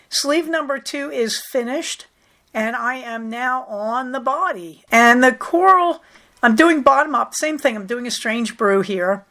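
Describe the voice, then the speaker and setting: Middle-aged woman, a bit high-pitched, getting over a cold, casual knitting chat, wry asides. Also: fairly bright tone. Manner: chatty, matter-of-fact, wry asides. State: recovering from a cold. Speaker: knitting podcast host. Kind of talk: casual chatty project update.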